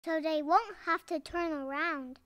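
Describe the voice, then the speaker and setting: Storybook little girl, played by a woman: high, childlike, unhurried, calm and polite but firm. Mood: earnest.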